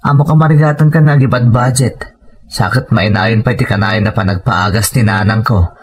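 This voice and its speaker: A man's voice: lowish voice, fairly even intonation, normal speed, slight background noise, close to an everyday voice. An actor with an Ilocano accent playing a hardworking, tender, worried family man in a radio drama. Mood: apologetic, weary.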